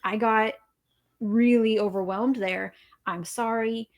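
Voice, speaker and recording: A woman's voice: on the high side, fairly even intonation, slow pace. Young woman therapist guest, explains patiently, acts out little examples. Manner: explanatory, acts out example dialogue, frequent affirmations. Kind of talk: casual interview.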